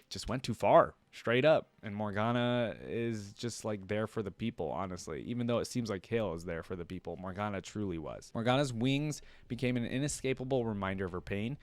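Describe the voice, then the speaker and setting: A man's voice: on the low side, no echo. A man hosting a reaction, switching between reading a story aloud and informal commentary where he thinks aloud. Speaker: reaction video host.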